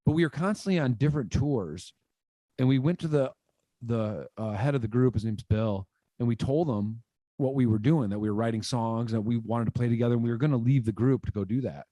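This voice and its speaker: A man in his thirties, a musician from Michigan, telling stories in a casual interview, rambling and animated, speaking into a studio mic at home. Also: mid-range voice, brisk, no room sound. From Michigan, USA. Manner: animated, rambling, lots of "like" fillers and gestures. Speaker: guest musician. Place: home room, indoors. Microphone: studio mic on boom arm, video call.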